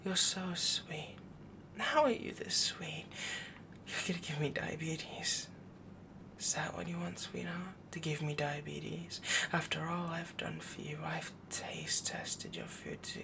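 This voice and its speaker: A man voicing a doting, possessive young wolf boy mate in a soft, intimate, breathy whisper for an ASMR boyfriend roleplay. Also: on the high side. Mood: teasing. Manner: slow, intimate, cooing, rambling devotion.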